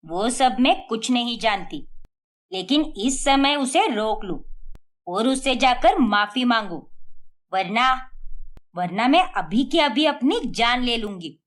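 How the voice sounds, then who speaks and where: A woman's voice: a little high, animated. A woman voicing a dramatic, doting mother-in-law in a cartoon story, pleading and melodramatic. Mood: threatening. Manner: pleading, melodramatic, drawn-out words.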